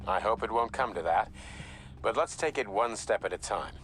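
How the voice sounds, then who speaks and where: Low-voiced visionary scientist. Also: male voice, animated, fairly bright tone. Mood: grave, instructing. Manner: measured, lofty, paternal. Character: grandiose, fatherly visionary male scientist and boss. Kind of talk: video game voice acting.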